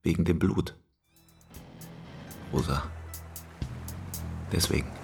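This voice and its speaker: A man's voice: slow pace, a little mellow, faint music behind, exaggerated voice. An actor playing a grave, insistent policeman in a radio drama, questioning with restraint. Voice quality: very deep, husky. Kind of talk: naturalistic German radio drama dialogue.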